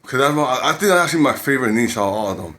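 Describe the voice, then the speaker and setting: A man's voice: mid-range voice, expressive, very fast, pushed and bright. A man giving dating advice to camera in a rambling casual way, often repeating himself and using blunt street slang.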